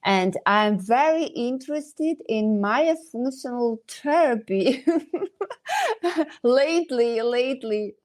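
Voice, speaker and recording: A woman's voice: sits high, big pitch swings, slow pace, darkish tone. A woman in her fifties with a Ukrainian accent, a dentist guest who hesitates and searches for English words.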